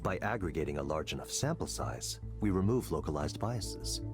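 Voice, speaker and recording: A man playing a confident, coldly intellectual tech executive in a visual novel, lecturing in a deliberate, persuasive way. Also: a bass voice, animated, over music. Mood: smug, dismissive.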